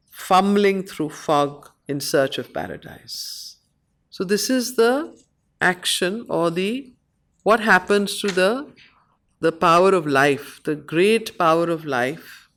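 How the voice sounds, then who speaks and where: A woman with an Indian accent, facilitating a study circle, reading and explaining verse in short, measured phrases. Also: lowish voice. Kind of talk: reading poetry aloud and explaining it to a study group.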